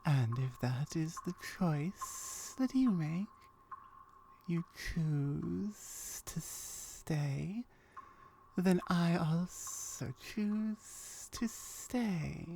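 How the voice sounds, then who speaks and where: Very slow, soft-spoken guarded gorgon played by a woman. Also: lowish voice, expressive, creaky, a little hiss or hum, exaggerated voice. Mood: guarded, calm. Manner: hesitant, halting, formal measured phrasing. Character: lonely, guarded female gorgon, wary yet curious. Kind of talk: ASMR roleplay acting.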